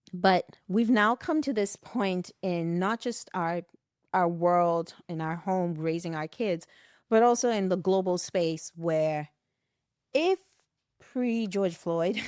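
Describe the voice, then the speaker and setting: A middle-aged woman from West Africa, a podcast guest in a reflective conversation, telling animated stories, laughing often and acting out the voices she quotes. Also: lowish voice, slow, long pauses, clear, resonant tone, no echo, clean studio sound.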